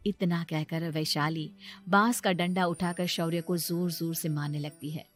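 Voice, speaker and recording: A woman's voice: fairly low pitch, steady tone, moderate pace, soft background music. A woman reading scripted narration for a moral story as its storyteller.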